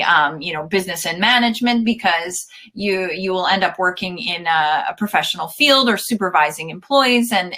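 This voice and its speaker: A woman in her thirties explains things informally as a guest on an online webinar. Her voice is bright and forward at an average pitch, and she qualifies what she says in a slightly tentative way. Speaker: university representative, webinar guest. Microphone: video call.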